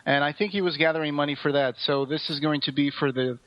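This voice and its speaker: A man's voice: on the high side, fast talker. A man co-hosting a podcast in casual, enthusiastic chat, telling things in an anecdotal way. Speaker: podcast co-host. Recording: dull, roomy recording.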